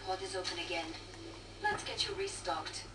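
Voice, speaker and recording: A woman's voice: on the low side, huge pitch swings, moderate pace. Wry lady trader in a shooter, businesslike, noisy tv speaker sound from far off. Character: brisk, wry French-speaking woman trader guiding fighters. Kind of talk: video game voice lines. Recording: noisy, echoey game audio from TV speaker.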